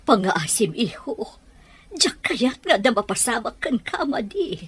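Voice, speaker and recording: A woman performs an anxious Filipino mother in an audio drama. Her voice is fairly high and noticeably husky. She pleads with emotion, like a widow desperate to protect her family. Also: big pitch swings, measured pace, a bit bright, heavily acted. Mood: pleading, worried. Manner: imploring, emotional. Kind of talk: Ilocano radio-style audio drama acting.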